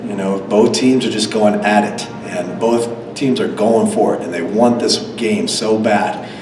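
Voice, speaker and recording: A man coaching a team, slightly hoarse from a cold, answering press questions with many fillers and restarts. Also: lowish voice, fairly even intonation, a little slow. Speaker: head coach. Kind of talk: post-game press conference answers. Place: press conference room. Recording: echoey room, distant mic, background noise.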